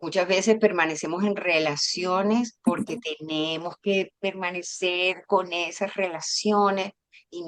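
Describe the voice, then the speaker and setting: A woman who grew up between Venezuela and Madrid leads an informal spiritual workshop, her voice sitting a little low. She tells stories with expression, acting out little bits of dialogue. She speaks over a video call. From Venezuela and Spain. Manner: expressive, warm storyteller who acts out dialogue. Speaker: teacher giving a workshop.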